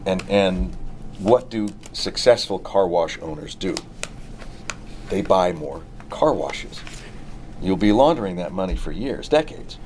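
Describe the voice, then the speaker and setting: A man's voice: low-pitched, animated, a little slow, a little mellow. A man acting in a TV drama table read, playing a persuasive middle-aged business owner with hidden menace. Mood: upbeat, persuasive. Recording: noisy room recording.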